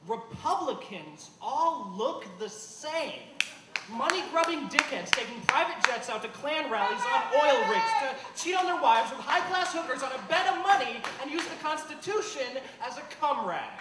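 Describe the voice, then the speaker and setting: A man performing slam poetry in an emphatic, declamatory way, projecting his voice to the room. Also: very high-pitched. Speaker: slam poet. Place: live poetry venue. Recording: echoey, noisy live venue, distant mic.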